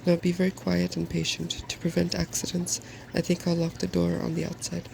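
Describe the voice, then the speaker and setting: A man voicing an eager, bossy, scholarly young woman in a soft-spoken ASMR storybook reading, with precise, instructive phrasing. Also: high-pitched, completely flat delivery, a little fast, gravelly, background noise. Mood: brisk, cheerful.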